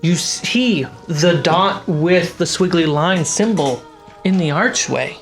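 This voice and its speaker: Game master for a fantasy tabletop session; slow, halting descriptive delivery, music and rain underneath. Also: medium pitch. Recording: music and rain sounds behind. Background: music, rain effects.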